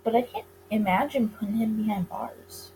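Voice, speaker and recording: A woman's voice: medium pitch, moderate pace, mellow, poor audio. A woman doing a let's play, narrating story text aloud and stumbling over the odd word. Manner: stumbles over words and rereads lines. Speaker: gamer reading a visual novel aloud. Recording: clear background noise.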